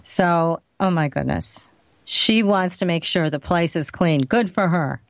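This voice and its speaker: A woman's voice: lowish voice, a little slow, no room sound. A woman hosting a radio talk show, bubbly and effusive as she introduces upcoming guests and her guest.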